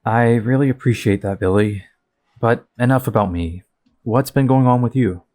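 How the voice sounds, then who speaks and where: A man's voice: medium pitch, moderate pace, darkish tone, lightly acted. A man playing a hesitant, awkward young superhero who is recovering and downcast, in an audio drama.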